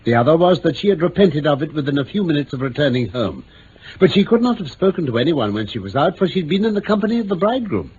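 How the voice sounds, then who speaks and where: A man playing an astute, courteous English gentleman detective in vintage radio drama, British-accented, precise and theatrical as he explains. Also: on the high side, big pitch swings, fast. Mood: assured, explanatory. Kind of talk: 1950s-60s BBC radio drama acting. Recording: dull vintage radio recording, faint noise.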